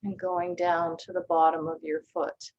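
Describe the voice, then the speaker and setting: A woman leading a guided body scan meditation, speaking gently and calmly with long, unhurried pauses. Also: lowish voice, dull audio. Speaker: meditation teacher.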